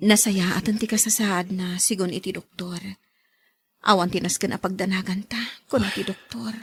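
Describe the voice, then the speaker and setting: A woman's voice: medium pitch, fairly even intonation, moderate pace, breathy edge, dry recording, studio quality. A woman with a Filipino accent playing a busy, career-minded young mother and wife in a radio drama. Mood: anxious.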